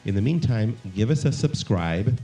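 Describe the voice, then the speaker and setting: Male podcast host, low mellow voice, chatty and encouraging. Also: animated, normal speed, soft background music. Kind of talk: casual interview chat. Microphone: studio microphone with headphones.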